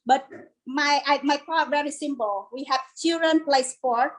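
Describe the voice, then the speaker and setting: A woman's voice: high-pitched, measured pace, poor audio. A middle-aged woman from Vietnam with a Vietnamese accent, chatting informally as an interview guest in non-native English. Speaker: guest, home business owner. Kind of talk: casual interview chat.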